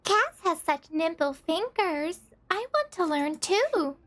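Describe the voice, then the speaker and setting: Tiny, very high mascot creature. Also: female voice, big pitch swings, takes it slowly, fairly bright tone, exaggerated voice. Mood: curious, happy. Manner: cute, childlike, curious. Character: tiny adorable childlike fairy-like mascot creature. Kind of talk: anime game voice acting.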